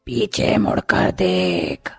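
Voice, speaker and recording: A woman voicing a menacing witch in a cartoon horror story, with a raspy, creaky voice and a taunting manner. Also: very deep voice, huge pitch swings, dry recording, full character voice. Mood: taunting menace. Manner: slow, taunting delivery. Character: menacing female witch of a haunted mansion.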